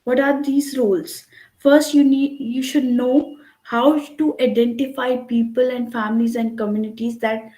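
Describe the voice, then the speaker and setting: A woman's voice: on the high side, level tone, a little slow, mellow. A woman giving an online lecture, explaining at length and checking in with "right?". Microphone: computer mic on a video call. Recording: echoey room.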